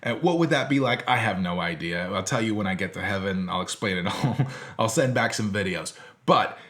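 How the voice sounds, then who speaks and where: Low-voiced American man explaining ideas informally. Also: animated, a little fast, a bit bright. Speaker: philosophy teacher, presenter. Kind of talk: informal philosophy lecture to camera.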